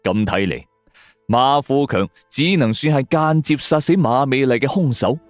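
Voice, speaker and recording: A man's voice: mid-range voice, normal speed, no room sound, clean studio sound, soft background music. A man narrating a suspense story in a colloquial, dramatic storyteller style. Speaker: audiobook narrator.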